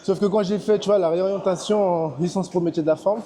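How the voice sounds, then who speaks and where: A man's voice: sits high, steady tone, fast talker, warm tone. A man in his thirties from French Guiana, a personal trainer chatting casually in a large gym, hesitant with many fillers. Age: 30s. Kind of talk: casual chat.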